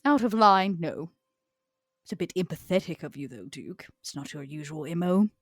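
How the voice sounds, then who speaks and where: A woman's voice: lowish voice, animated, normal speed, dry recording. Woman playing haughty elegant vampire, Vera, dry close sound. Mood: cool, firm. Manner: haughty, theatrical, formal phrasing. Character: proud, scornful old female vampire named Vera, elegant and ambitious.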